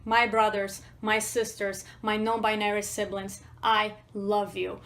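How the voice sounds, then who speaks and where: A woman's voice: medium pitch, flat delivery, unhurried, fairly bright tone. A woman delivering an emotional spoken-word address to her listeners.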